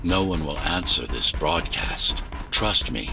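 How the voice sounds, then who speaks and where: Digital consciousness, very deep voice, calm, commanding, condescending, video game, distant, clear music behind. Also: male voice, heavily acted. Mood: grave, bitter. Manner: measured, condescending, authoritative. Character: digital consciousness of a tower's architect, calm commanding older man. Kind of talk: scripted video game voice acting. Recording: distant voice under loud electronic music.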